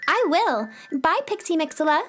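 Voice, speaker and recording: A woman's voice: extremely high pitch, very animated, moderate pace, music in the background. A woman playing a cheerful, curious young heroine in a children's audio drama.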